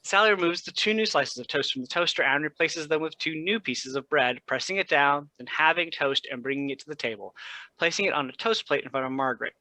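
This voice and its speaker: Guy narrating a play script's stage directions, crisp bright voice, pretty dry recording with no room on it. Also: on the high side, expressive, fairly quick, no echo, low-quality recording.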